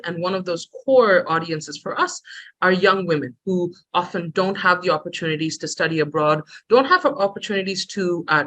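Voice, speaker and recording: Woman in her late thirties, webinar panelist on a video call, bright voice, earnest and halting. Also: lowish voice, rough recording. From Bangladesh. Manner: earnest, frequent ums and restarts. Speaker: program director, webinar speaker. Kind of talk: prepared webinar talk.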